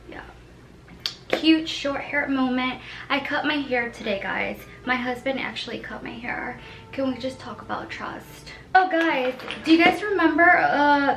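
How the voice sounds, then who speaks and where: A bubbly woman in her twenties chats her way through a beauty haul, gushing and giggling as she goes. Her voice sits a little high and her delivery is slow and unhurried. The room is echoey, with clear music playing behind her. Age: 20s. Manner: gushing, excitable, with frequent giggles. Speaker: beauty vlogger. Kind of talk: casual chatty haul vlog.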